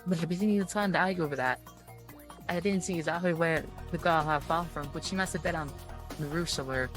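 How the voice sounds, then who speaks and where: A woman reading visual novel narration aloud in an informal, halting way, often stumbling over her words. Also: on the low side, over music. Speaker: video game streamer reading aloud.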